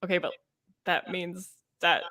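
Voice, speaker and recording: A woman's voice: lowish voice, a little slow, pushed and bright. A woman from the Latvian diaspora, a guest in a casual book discussion, talking frankly and laughing often.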